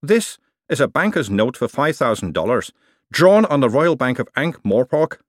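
A shrewd, no-nonsense businesswoman, put on by a male narrator, with her voice raised a little above his own. She speaks crisply and plainly, in a close, dry sound. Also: mid-range voice, very animated, normal speed, no room sound, pitched above the performer's own voice. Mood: brisk. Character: brisk, shrewd businesswoman client. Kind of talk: audiobook character voice.

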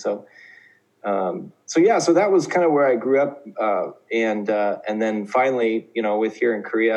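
A man's voice: on the low side, a little mellow, rough recording. A man in his thirties from Oklahoma, a teacher, talking casually as a guest in an interview, with many fillers and restarts. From Oklahoma, USA. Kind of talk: conversational interview.

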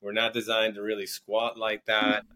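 A man's voice: on the low side, monotone, normal speed, sharp tone. Man talking earnestly, often restarting words. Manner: earnest, repeats words when starting sentences. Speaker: podcast guest, entrepreneur. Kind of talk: casual interview chat.

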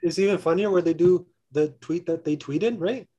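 A young man, a college student, chatting as a podcast guest, eager and quick to jump in. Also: fairly high pitch, dark tone, muffled recording. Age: young adult. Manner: quick, eager, jumps in over the host. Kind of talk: casual podcast chat.

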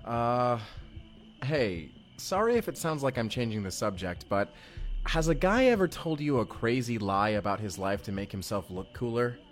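A man's voice: mid-range voice, big pitch swings, normal speed, close to an everyday voice. A man playing an awkward, friendly, evasive young man in an immersive audio drama, chatty and hesitant, often joking. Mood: hesitant, sincere. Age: young adult. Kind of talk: immersive first-person audio roleplay. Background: music.

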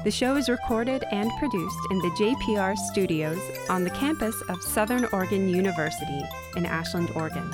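Woman reading credits over loud music. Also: medium pitch, lively intonation, a little fast, hardly any pauses. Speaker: producer and cast member reading credits. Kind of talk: scripted narration. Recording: loud music and jingle behind.

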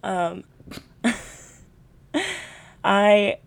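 A woman's voice: medium pitch, big pitch swings, extremely slow, gravelly. A young woman from California, a podcast co-host, chatting casually in long rambling answers full of "like" and laughs. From California, USA. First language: Tagalog.

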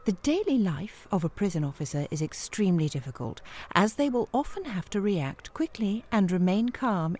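An actress plays a prim woman running a job interview in a comic audio play. Her pitch moves up and down a great deal. She is formal and officious, with a strained politeness. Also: warm tone. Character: prim, patient, increasingly strained female prison service interviewer.

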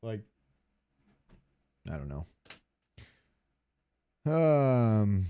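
Clear, dry-sounding man chatting casually. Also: lowish voice, very animated, extremely slow, warm tone, dry recording. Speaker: podcast co-host. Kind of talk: casual chat.

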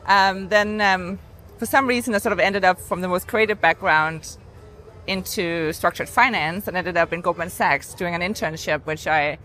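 Lower-voiced Danish woman telling her story. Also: moderate pace, a bit bright, a little hiss or hum. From Denmark. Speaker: podcast guest, entrepreneur. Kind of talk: conversational interview storytelling.

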